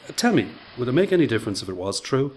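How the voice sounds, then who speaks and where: An actor playing a self-assured, dry-witted factory worker with a Dublin accent in a radio play. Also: male voice, medium pitch, big pitch swings, very fast, slight background noise, close to an everyday voice. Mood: candid, wry. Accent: Irish, Dublin. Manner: wry, teasing, confident.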